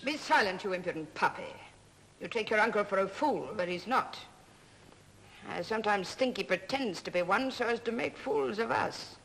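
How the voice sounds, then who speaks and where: Old woman, Roman matriarch, bright forceful voice, haughty, commanding, vintage 1930s film drama. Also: mid-range voice, animated, normal speed, slight background noise, poor audio. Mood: stern command. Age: older.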